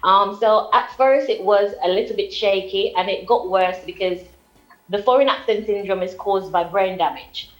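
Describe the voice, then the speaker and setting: A woman's voice: mid-range voice, normal speed, rough recording. A young adult woman from Jamaica with a British-sounding accent, explaining things at length as a guest in a live TV interview.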